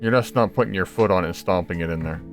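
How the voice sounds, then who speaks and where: A man's voice: deep voice, fast talker, soft background music. A man giving casual gameplay commentary in a blunt, irritated way with heavy swearing. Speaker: gaming video maker.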